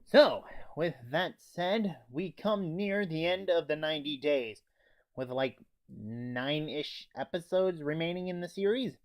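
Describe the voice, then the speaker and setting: A man's voice: a little high, measured pace, no echo. A teenage male reviewer giving an informal, sweary, scripted comic recap. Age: teens. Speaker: anime reviewer.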